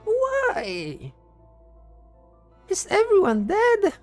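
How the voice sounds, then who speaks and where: A very shrill, distressed magical creature. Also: female voice, wildly swooping pitch, very slow, faint music behind, heavily acted. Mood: shocked. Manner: pleading, stammering. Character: distressed magical flying creature with jaws and flame. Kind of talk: fantasy audio drama acting.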